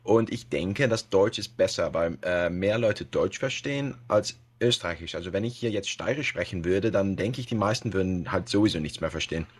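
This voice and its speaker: A man's voice: low-pitched, moderate pace, hardly any pauses, a little hiss or hum. A man from the Netherlands with a Dutch accent, speaking German as a second language in a casual podcast interview, hesitant with many fillers and restarts. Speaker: podcast guest, web radio host.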